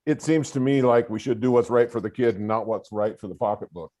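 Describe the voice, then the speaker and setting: An older man who has coached for decades, explaining a student's case to a board over a remote call in a loud, earnest voice full of fillers. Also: medium pitch, fairly quick. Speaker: school administrator and longtime coach.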